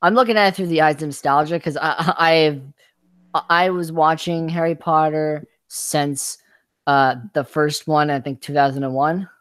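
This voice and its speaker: A man's voice: fairly high pitch, fairly even intonation, normal speed, a bit bright, clear, resonant tone, dry recording. Stammering young man in a dry room. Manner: stammering, repeats words, chuckles often. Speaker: podcast co-host. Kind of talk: casual podcast chat.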